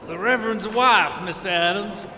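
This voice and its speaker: A man playing a respectful, good-humoured gentleman serving as a boat hand in an old-time radio adventure. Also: high-pitched, moderate pace. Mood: admiring. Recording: echoey hall, faint background noise.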